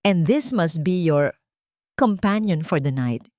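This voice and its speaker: A woman's voice: deep voice, animated, darkish tone, no room sound, clean studio sound. A woman voicing a sly male business rival in a romance story, with pointed, insincere politeness. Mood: sly, insinuating. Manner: pointed, drawn-out emphasis.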